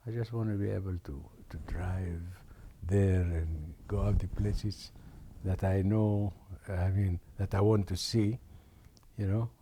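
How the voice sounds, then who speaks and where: An older man from Aden, Yemen, slowly recalling memories in an oral history interview, with many pauses and restarts. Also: sits low, steady tone, dark tone, a little hiss or hum.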